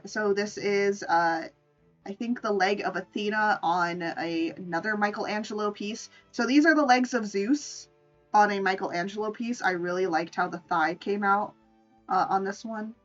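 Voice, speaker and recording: A woman's voice: mid-range voice, normal speed, far from the mic, lo-fi sound, soft background music. A woman chatting casually and informally on a livestream as she shows off her art, playful and free with swearing. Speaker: streamer, VTuber artist. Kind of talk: casual livestream chat showing drawings.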